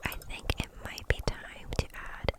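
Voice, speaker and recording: A woman playing a gentle, kindly kitchen witch in an ASMR roleplay, speaking in a soft, soothing, nurturing whisper. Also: moderate pace, natural voice.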